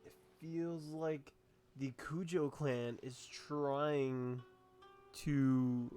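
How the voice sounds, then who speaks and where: Young male let's player: unhurried, repetitive casual reactions, mid-pitched, light music underneath. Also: fairly even intonation, dark tone. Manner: halting, repetitive, slangy. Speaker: gamer reacting to a story quest. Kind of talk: casual let's play commentary.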